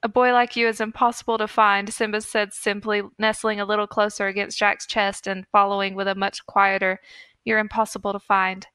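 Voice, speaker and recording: Woman, podcast co-host, crisp and resonant, bright tone, earnest storytelling delivery, fan fiction reading. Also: mid-range voice, little pitch movement, brisk, dry recording.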